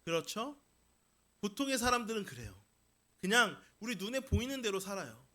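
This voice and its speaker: Male pastor, very high voice, bright forceful tone, emphatic sermon delivery, repeated phrases, rhetorical questions. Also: sing-song, normal speed. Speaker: pastor preaching. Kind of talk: Sunday sermon to a congregation.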